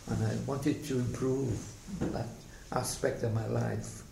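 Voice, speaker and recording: An older man from South Wales with a slight Welsh accent and a gravelly voice, telling his life story in a halting, reflective way for an oral history interview. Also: medium pitch, level tone, dark tone. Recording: echoey room, faint background noise.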